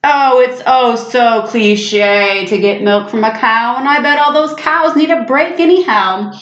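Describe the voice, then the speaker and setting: An excitable schoolboy full of big dreams , acted by a woman reading a storybook. He declaims grandly and eagerly, taking his time over each boast. The voice is fairly high, in a roomy, slightly dull recording. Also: unhurried. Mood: playful, insistent. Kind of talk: storybook read-aloud. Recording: echoey room, slightly muffled sound.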